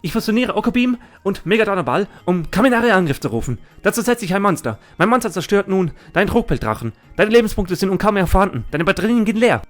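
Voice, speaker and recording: A man's voice: sits high, sing-song, quick pace, hardly any pauses, pitched above the performer's own voice. A man voicing a cocky, sneering duelist who taunts and boasts, in an anime fan audio drama. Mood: gloating. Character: cocky, sneering male Thunder Dragon card duelist.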